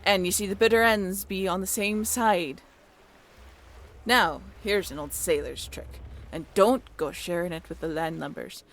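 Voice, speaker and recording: A woman's voice: lowish voice, voice pushed down, natural voice. A woman playing a salty female bosun in a roleplay audio drama, talking in theatrical speech with a teasing, instructing manner. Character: salty female bosun of a haunted sailing ship.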